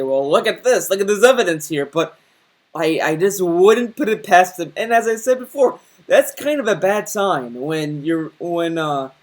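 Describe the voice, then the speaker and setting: Young guy ranting on his channel, kinda high voice, rambly and mocking. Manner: rambling, restarts sentences, mocking. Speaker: commentary channel host. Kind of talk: casual commentary rant.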